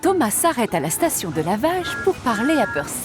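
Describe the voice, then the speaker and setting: Lively, high-voiced woman narrator. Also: brisk, music in the background. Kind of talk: scripted children's story narration.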